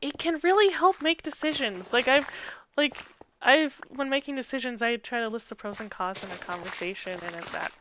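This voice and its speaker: A woman's voice: on the high side, normal speed, noisy background. A young woman chatting casually on a stream, explaining things and laughing lightly. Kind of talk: casual chat.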